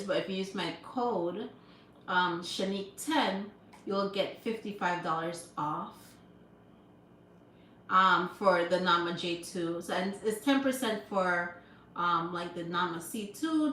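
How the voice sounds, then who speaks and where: Slow-talking Jamaican woman, chatty and laughing. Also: medium pitch, distant mic. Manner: chatty, laughs often, thanks viewers warmly. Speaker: livestream host. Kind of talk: casual live-stream chat. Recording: roomy sound from off-mic, background noise.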